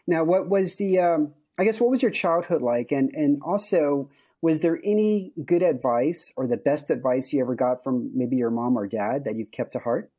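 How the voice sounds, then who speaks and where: A man's voice: fairly high pitch, moderate pace, few pauses, warm tone. A man from the United States hosting a startup podcast, chatty and jokey, laughing often. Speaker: podcast host. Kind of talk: upbeat podcast interview.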